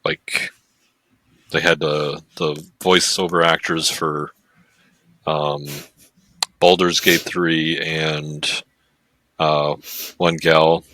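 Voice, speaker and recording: A man co-hosting a podcast in casual chat, talking in a halting, hesitant way. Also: a bass voice, flat delivery, sharp tone, no room sound. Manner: halting, hesitant, many fillers and restarts. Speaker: podcast co-host.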